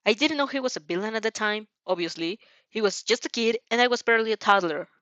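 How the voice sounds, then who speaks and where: A woman's voice: medium pitch, animated, quick pace, fairly bright tone, dry recording, natural voice. A woman plays a flustered teenage girl student in a fan fiction audio story. She is defensive and stubborn, hesitating as she explains herself. The recording is dry and a bit muffled. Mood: hesitant, defensive. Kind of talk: amateur fan fiction character reading.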